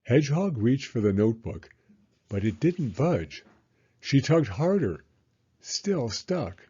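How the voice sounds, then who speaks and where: A man narrating a children's story as an expressive read-aloud. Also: medium pitch, slow pace, breathy edge. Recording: slightly dull, muffled audio.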